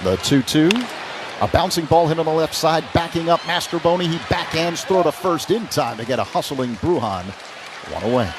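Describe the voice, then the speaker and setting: A man's voice: on the high side, lively intonation, moderate pace. American baseball play-by-play man over ballpark noise. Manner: clipped phrases, calling action as it happens. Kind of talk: live radio sports commentary. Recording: crowd ambience noise behind broadcast. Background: ballpark ambience noise.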